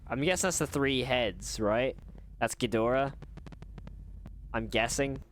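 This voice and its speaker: A man's voice: medium pitch, fairly bright tone, slight background noise. A man giving casual reaction commentary, informal and exclamatory, often repeating himself. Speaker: host of horror reaction channel.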